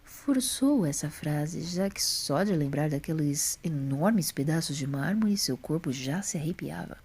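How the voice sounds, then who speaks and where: A woman's voice: deep voice, expressive, measured pace, a little mellow, husky. A woman reading scripted story narration as the narrator of an audio drama.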